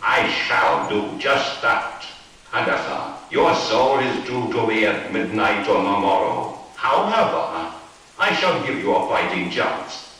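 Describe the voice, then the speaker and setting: A man's voice: fairly low pitch, sing-song, slow, pushed and bright, heavily acted. A man playing a haughty, menacing Devil in a horror-comedy radio play, with a grandiose, theatrical delivery. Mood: haughty, commanding. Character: the Devil, male lord of darkness, haughty, menacing, sporting. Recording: echoey, distant, hissy.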